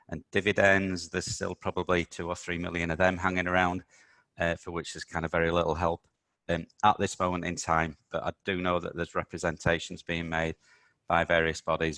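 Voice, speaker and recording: Croaky low-voiced man hosting a webinar. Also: a bit bright, no room sound. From United Kingdom. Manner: hesitant, many ums and uhs. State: slightly croaky voice. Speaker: webinar host, accountant. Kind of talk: business webinar briefing.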